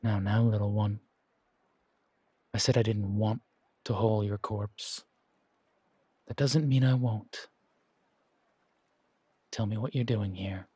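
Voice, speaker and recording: A man playing a menacing, wry pirate captain in a roleplay audio, speaking in a taunting, deliberate drawl with dramatic pauses. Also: on the low side, fairly even intonation, mellow, breathy edge, no echo. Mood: suspicious, taunting. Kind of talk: scripted roleplay audio acting.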